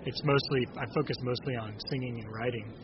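A man in his thirties with a raspy speaking voice, a singer-songwriter answering interview questions in a halting way with many restarts. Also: mid-range voice, steady tone, crowd noise. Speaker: bluegrass singer-songwriter, guest. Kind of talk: casual interview talk. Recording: dull lo-fi audio with clear noise.

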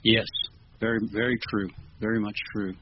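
A man's voice: on the low side, little pitch movement, moderate pace. A man speaking as a musician guest in a casual interview, earnest and modest in manner. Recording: dull lo-fi with clear noise.

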